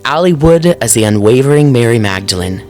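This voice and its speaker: A man's voice: mid-range voice, lively intonation, fairly quick, darkish tone. A man reading scripted credits as an announcer, in a formal, appreciative manner. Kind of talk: scripted narration. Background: faint music.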